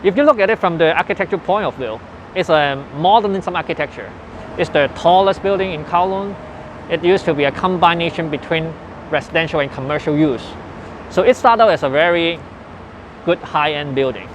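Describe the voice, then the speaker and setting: A man in his forties with a Hong Kong English accent, earnestly recalling his past in an unhurried interview with loose grammar. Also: fairly high pitch, a little hiss or hum. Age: 40s. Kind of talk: personal reminiscence in interview. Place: old building where his family lived and worked.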